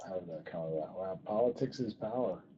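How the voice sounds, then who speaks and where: A man's voice: low-pitched, brisk, muffled recording, background noise. A man speaking as an interview guest and author, explaining things conversationally with emphatic repetition. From born in Ghana, grew up in US embassies in Southeast and East Asia.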